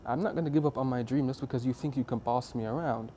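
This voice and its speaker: A man voices a confident teenage boy hero in a casually told anime story. He sounds smug and defiant, with a mellow, relaxed tone. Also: mid-range voice, fast, a little hiss or hum, lightly acted.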